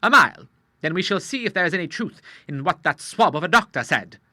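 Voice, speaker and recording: A man voicing a blunt, hearty elderly admiral who talks in loud, emphatic sea slang. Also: sits high, big pitch swings, a little fast, no echo, clean studio sound, pitched above the performer's own voice, full character voice. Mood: triumphant. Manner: gruff nautical talk, loud and emphatic. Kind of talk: audiobook character voice.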